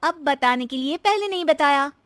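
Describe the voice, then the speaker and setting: Woman acting a high-pitched, harried young daughter-in-law. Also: lively intonation, moderate pace, dry recording, clean studio sound. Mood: weary explaining. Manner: complaining, emphatic.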